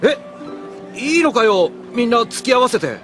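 Easygoing, high-voiced young swordsman. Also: big pitch swings, moderate pace, music in the background. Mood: apologetic, explaining. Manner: casual, apologetic, amiable. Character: friendly, easygoing young male swordsman, considerate. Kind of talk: anime drama CD acting.

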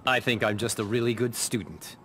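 Very noisy game audio carries a male actor as a modest young scientist superhero of middling pitch. He speaks politely and precisely, earnest and eager to learn. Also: steady tone, brisk, close to an everyday voice. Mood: earnest, confident. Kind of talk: video game pre-fight dialogue.

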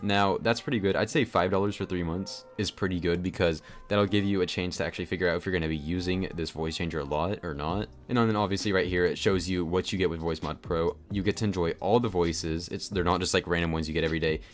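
A man narrating a casual software tutorial in a chatty, informal, explanatory way. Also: low-pitched, steady tone, fast, few pauses. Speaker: tutorial host. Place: voice-over on a screen recording. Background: background music.

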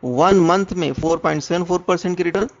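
An Indian man narrates an explanatory analysis in the manner of an online finance presenter. His voice sits a little high for a man, with a noticeable gravelly fry. The recording is dull and lo-fi. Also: brisk. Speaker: presenter of a stock market education channel. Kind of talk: explanatory stock analysis narration over screen share. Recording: dull, muffled lo-fi voice-over.

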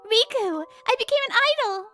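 A woman voicing a sweet, devoted, pouty teenage girl idol in a manga dub, with a cutesy expressive delivery. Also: extremely high pitch, a little fast, faint music behind. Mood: eager.

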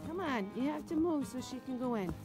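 A woman's voice: high-pitched, animated, fairly quick, over music. A middle-aged woman talking casually to her pets in short, coaxing phrases, recorded on a handheld phone. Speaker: backyard chicken keeper, vlogger. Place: mostly outdoors in a garden and around a coop.

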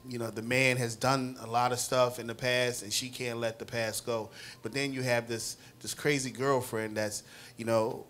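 A man's voice: medium pitch, fairly bright tone, slight background noise. A middle-aged man, a playwright guest, talking animatedly on a panel in a restaurant, often repeating words, with a handheld mic. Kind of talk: casual panel interview. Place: restaurant with wood-paneled wall.

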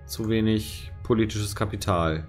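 Male streamer, 40s, from East Westphalia; casual, filler-heavy play-by-play over soft music. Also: fairly low pitch, unhurried. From East Westphalia, Germany. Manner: thinks aloud with many fillers. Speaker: streamer and co-host. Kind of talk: casual let's-play chat. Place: home room.

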